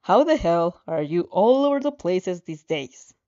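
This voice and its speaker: Casting: hot-headed, sneering young man for anime fan fiction, played by a woman, measured pace, lo-fi sound. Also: on the low side, sing-song, mellow. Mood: angry disbelief. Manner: spitting, contemptuous.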